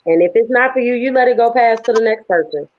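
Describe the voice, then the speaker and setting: A woman poet from New Orleans with a local accent, talking in a lively, emphatic, conversational way as an interview guest. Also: fairly high pitch, fast. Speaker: guest, spoken word poet. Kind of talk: casual interview talk. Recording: dull lo-fi audio.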